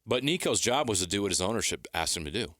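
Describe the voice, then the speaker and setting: A man's voice: on the low side, very animated, fast talker, fairly bright tone, no room sound. A man with an American accent co-hosting a sports radio show, plain-spoken and conversational. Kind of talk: casual sports talk discussion.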